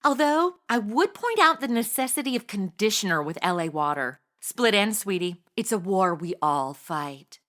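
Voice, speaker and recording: A woman's voice: medium pitch, lively intonation, normal speed, hardly any pauses, a bit bright, lightly acted. A woman playing a chatty, boy-crazy, ditzy would-be investigator in a comedy audio drama, gushing, emotional and flippant as she overshares. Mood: breezy, oversharing.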